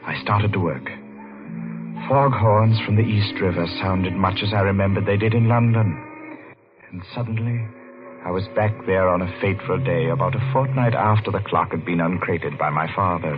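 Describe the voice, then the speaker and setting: An actor playing a haunted, brooding middle-aged clockmaker in a 1940s radio mystery. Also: male voice, sits low, moderate pace, lightly acted. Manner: brooding, hesitant in conversation. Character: haunted, vengeful middle-aged London-born clockmaker, narrating his story. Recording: dull old radio recording, loud music.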